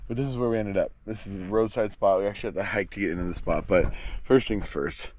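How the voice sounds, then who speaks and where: A man's voice: on the low side, fast talker, noisy background. A man chatting casually to viewers as an outdoor camping and fishing vlogger, with a folksy, playful, exuberant manner full of quirky slang. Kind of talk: casual vlog chat to viewers. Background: music.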